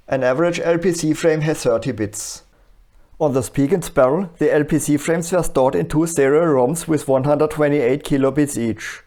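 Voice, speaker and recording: A man presenting an electronics tutorial in scripted, explanatory narration with a measured, instructional manner. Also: fairly high pitch, dark tone.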